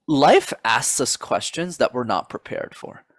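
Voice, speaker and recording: A man guesting on a podcast as a public speaking coach, talking in an animated, motivational way and often calling the host by name. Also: medium pitch, unhurried, no echo, studio quality. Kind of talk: casual interview chat.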